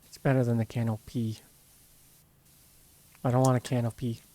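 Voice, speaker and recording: A man's voice: mid-range voice, little pitch movement, moderate pace, mellow. American man with short, dry remarks. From United States. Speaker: co-player. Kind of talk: casual gaming chat.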